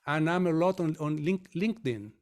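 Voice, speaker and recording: Higher-voiced man from Chile, reflective. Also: expressive, moderate pace, darkish tone. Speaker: guest, mortgage company founder. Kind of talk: podcast interview answers.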